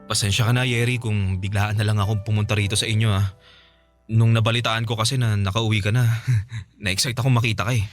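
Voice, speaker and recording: A man's voice: lowish voice, fairly even intonation, fairly quick, soft background music, natural voice. An actor playing a lovesick young man pleading emotionally with his ex in a Filipino radio drama. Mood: hurt, coaxing. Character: Terence, desperate, pleading, lovesick young ex-boyfriend.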